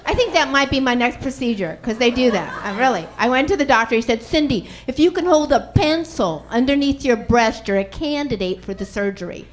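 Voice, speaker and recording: A woman's voice: fairly high pitch, expressive, brisk, few pauses, some chatter behind, slight background noise. A middle-aged woman doing a frank, self-mocking stand-up set. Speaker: stand-up comedian.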